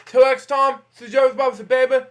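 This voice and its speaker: A man hosting an informal bedtime story read-aloud, speaking in a halting, repetitive way with frequent interjections and asides. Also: very high-pitched, steady tone.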